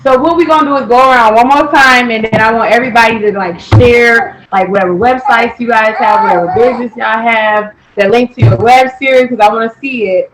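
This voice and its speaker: A woman hosting a casual podcast chat, laid-back and quick to laugh. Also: a little high, noisy background. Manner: laid-back, laughs easily, says y'all. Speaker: podcast host.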